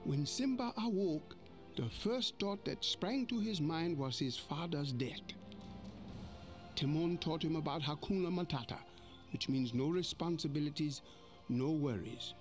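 Slow expressive storybook narrator, man. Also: a little high, faint music behind.

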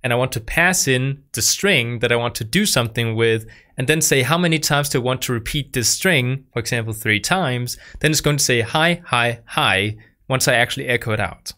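A man's voice: mid-range voice, normal speed, pushed and bright. A man in his twenties explaining programming to beginners in a patient, repetitive way, seated at a studio microphone. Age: 20s. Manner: patient, repeats himself for beginners. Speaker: programming tutor. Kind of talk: explaining code to camera. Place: dark studio, indoors. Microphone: studio mic on boom arm.

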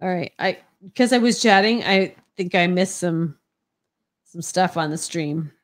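A woman's voice: fairly low pitch, a little slow. A sniffly middle-aged woman, chatting casually and teasingly as she co-hosts and reads out comments. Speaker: livestream co-host reading chat comments. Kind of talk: casual chat.

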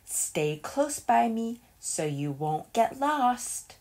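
A woman voicing a caring, gentle critter mother in a storybook, with tender, careful phrasing. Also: fairly high pitch, very animated, drawn-out words, full character voice. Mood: cautioning.

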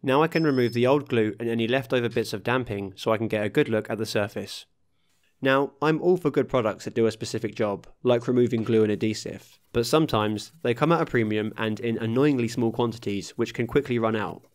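A man's voice: mid-range voice, brisk. Man narrating his car restoration. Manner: steady, matter-of-fact explaining of workshop steps. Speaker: host of a car restoration channel. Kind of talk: scripted voice-over narration.

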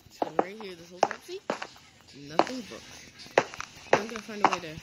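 A woman's voice: medium pitch, expressive, brisk. A woman rambling to herself in improvised roleplay and commentary as she plays a video game, like someone making gaming videos. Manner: rambling, talking to self while playing. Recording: noisy phone screen recording, clicks.

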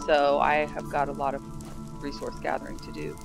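A woman's voice: deep voice, monotone, normal speed, vocal fry. A woman giving casual, rambling gameplay commentary while touring her builds, a little congested from sinus trouble. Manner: rambling, thinking aloud. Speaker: game server builder. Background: background music.

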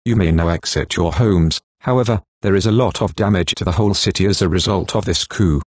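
A man's synthetic voice reading a formal emergency broadcast announcement in a flat, measured way. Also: low voice, husky, no echo.

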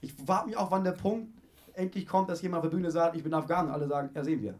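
A man's voice: a little high, darkish tone. A young man, a guest comedian, chatting on a small living-room stage before an audience with a handheld mic, wry and self-mocking. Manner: quick, wry and self-mocking, acts out quoted voices. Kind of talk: casual onstage chat.

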